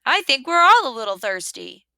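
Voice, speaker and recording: A woman voicing a big, good-natured bear with a hearty, drawn-out delivery in a children's storybook read-aloud. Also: high-pitched, very animated, sharp tone, no echo, clean studio sound, pitched above the performer's own voice, heavily acted. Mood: contented.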